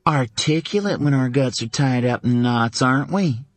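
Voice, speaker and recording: A man voicing a sharp-witted woman who teases her best friend with dry, quick banter. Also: medium pitch, dry recording, voice pushed up, natural voice. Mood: teasing.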